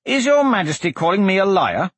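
A man's voice: high voice, sing-song, a little fast, fairly bright tone, husky, no room sound, pitched above the performer's own voice, exaggerated voice. A man voicing a brash, defiant young male prisoner, cocky and blunt, in a fantasy audiobook. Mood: cocky, indignant. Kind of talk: fantasy audiobook character dialogue.

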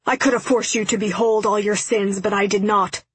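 Woman voicing a stern, husky, tormented young man. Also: mid-range voice, steady tone, moderate pace, no room sound. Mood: stern anger. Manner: formal, archaic, menacing declarations. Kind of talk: audiobook character acting.

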